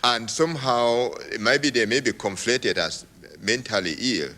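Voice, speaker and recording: A man from Cameroon asking a question from the audience at a public talk, halting with many restarts and laughing as he talks. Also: medium pitch, bright, forceful tone. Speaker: audience member. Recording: reverberant hall.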